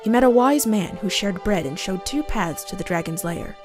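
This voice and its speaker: A woman's voice: medium pitch, lively intonation, moderate pace. A woman reading scripted narration for a fantasy storybook. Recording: game music under the voice. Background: fantasy game music.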